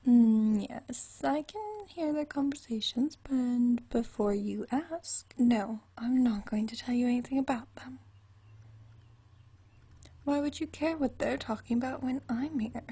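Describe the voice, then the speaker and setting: Woman playing a husky, whispery, slow-talking vampire lady. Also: sits high, full character voice. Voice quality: soft, breathy, whispery. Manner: teasing, dryly witty, languid, genteel turns of phrase. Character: ageless, wry, teasing, genteel female vampire friend. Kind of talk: ASMR roleplay, intimate one-sided conversation.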